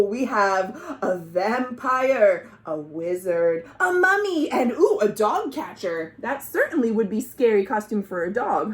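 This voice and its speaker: A woman hosting a children's storytime, reading aloud expressively and pausing to explain words and ask the listeners questions. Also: high-pitched. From United States.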